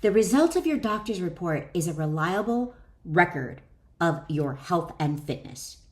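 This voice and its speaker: A woman's voice: lowish voice, sing-song, a little slow. Middle-aged woman reading aloud, encouraging. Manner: encouraging, direct address to listener. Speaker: author and wellness educator. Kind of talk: reading own book aloud with chatty asides.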